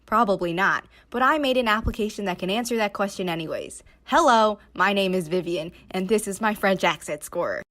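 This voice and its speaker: Young woman doing a scripted voiceover for her student presentation, average pitch. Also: animated, fairly quick, few pauses, a bit bright. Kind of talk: scripted slideshow narration. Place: voice-over recorded for slides.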